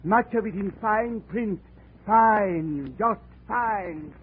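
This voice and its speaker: Haughty high-voiced radio villain. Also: male voice, extremely slow. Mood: smug, indignant. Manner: formal, haughty, indignant. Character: smug, paranoid murder suspect, a widower, pleading when cornered. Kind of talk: 1940s radio drama acting. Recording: noisy, tinny 1940s radio recording.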